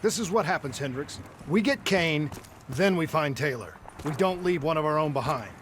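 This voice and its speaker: An actor playing a loyal, resolute squad leader in a video game, firm and clipped. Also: male voice, fairly high pitch, animated, normal speed, fairly bright tone, a little hiss or hum. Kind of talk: video game cutscene acting.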